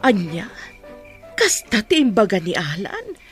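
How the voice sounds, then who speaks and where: A woman's voice: medium pitch, huge pitch swings, measured pace, fairly bright tone, faint music behind. An actress playing a concerned mother questioning her daughter in a radio drama. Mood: surprised, probing. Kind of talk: Ilocano radio drama acting.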